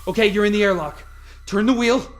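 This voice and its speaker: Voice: medium pitch, brisk, faint music behind, close to an everyday voice. A performer playing an urgent scientist in a radio play, intense and stammering under pressure while guiding a colleague through danger. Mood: urgent coaching.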